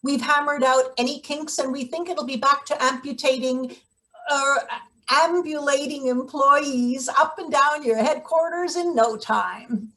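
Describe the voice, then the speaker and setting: A woman's voice: high voice, steady tone, a little slow, fairly bright tone, low-quality recording. A woman acting a brusque, scheming, cynical maintenance boss in a read-through of a satirical stage play. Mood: smooth, salesmanlike. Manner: bossy, clipped, sardonic.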